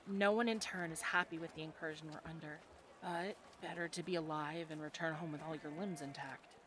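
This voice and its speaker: Woman playing friendly teasing fantasy tavern gal, bright voice, chatty guide to a newcomer, audio rp with background ambience. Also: low-pitched, steady tone, fairly quick, slight background noise. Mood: guiding. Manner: conversational, gently instructive. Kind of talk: audio roleplay acting, adventure ASMR.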